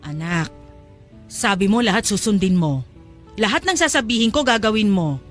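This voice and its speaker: A woman's voice: mid-range voice, lively intonation, moderate pace, soft background music, natural voice. An actress playing a pushy, scheming middle-aged mother in a Tagalog audio drama, coaxing and insistent.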